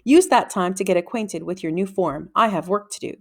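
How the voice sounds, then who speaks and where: A woman reading a children's book and voicing a gruff, impatient old male wizard who speaks curtly. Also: on the low side, a little fast. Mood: curt, commanding. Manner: curt, commanding. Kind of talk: children's book read-aloud character voice.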